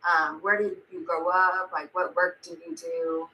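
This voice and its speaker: A woman's voice: fairly low pitch, little pitch movement, measured pace, pushed and bright, rough recording. A young woman hosting a podcast, chatting casually with her guest and using lots of "like" and "um". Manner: chatty, full of fillers like "like" and "um". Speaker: podcast host. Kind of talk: casual interview.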